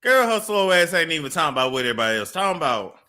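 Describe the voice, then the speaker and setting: A man's voice: on the high side, sing-song, racing, sharp tone. A young man hosting a gossip commentary show, laughing and mocking the clips he reacts to. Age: young adult. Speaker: commentary host.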